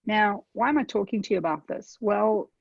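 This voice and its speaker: A woman's voice: mid-range voice, brisk, creaky, no room sound, lo-fi sound. A woman from South Africa with a South African accent, giving a conversational webinar talk and checking in with her audience often. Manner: conversational, addresses audience directly with frequent "okay?". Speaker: webinar presenter. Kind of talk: webinar presentation.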